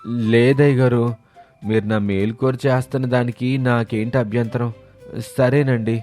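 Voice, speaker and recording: A man voicing a humble servant in an animated moral story, grumbling in puzzlement yet respectful to his master. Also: mid-range voice, normal speed, faint music behind, lightly acted.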